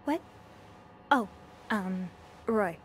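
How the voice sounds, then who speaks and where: A young hotel maid with a nosy streak in a narrative game. She speaks politely and somewhat hesitantly, at a medium pitch. There is faint ambient noise behind her. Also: lively intonation, extremely slow. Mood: nervous, deferential. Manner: hesitant, polite, stammers when flustered.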